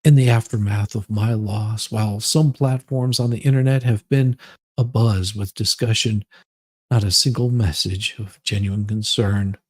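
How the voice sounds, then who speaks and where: A man voicing a self-pitying, melodramatic woman in a slow, overwrought, mock-solemn dramatic reading of a grievance essay. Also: on the low side, darkish tone, voice pushed down. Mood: mournful, self-pitying.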